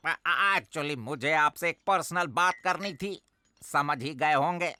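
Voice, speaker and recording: A man's voice: a little high, slow pace, pushed and bright, smooth, heavily acted. A man voicing a smug, mock-polite jailer in a cartoon dub, ceremonious and sly. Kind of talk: Hindi cartoon dubbing.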